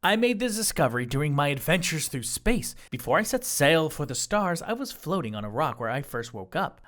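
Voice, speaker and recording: A performer voicing a flippant, deadpan slime god who drifts through space, narrating an audio drama in the first person in a conversational, boastful way. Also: medium pitch. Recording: music bed under the voice.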